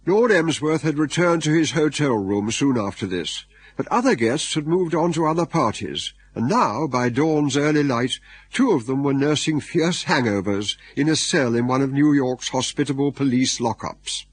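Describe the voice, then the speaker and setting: A man's voice: mid-range voice, lively intonation, moderate pace, slightly rough, no echo. An Englishman narrating a radio comedy drama from a script in a wry, storytelling manner.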